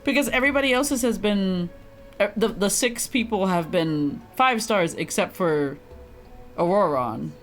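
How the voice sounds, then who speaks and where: A woman's voice: medium pitch, measured pace, soft background music. A woman livestreaming a video game, chatting casually and reading game text aloud in a giggly, reactive manner.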